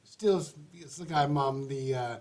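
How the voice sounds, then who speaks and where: A man's voice: mid-range voice, unhurried, a little mellow. A man hosting an advice show, sounding congested and stuffy-nosed, talking in a rambling, chatty way with restarts. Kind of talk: casual livestream monologue.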